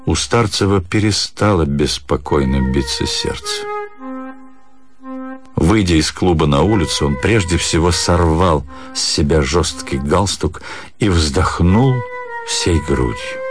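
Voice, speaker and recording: A man with a deep voice narrates a literary story for radio. His phrasing is measured and expressive, and music plays clearly behind him.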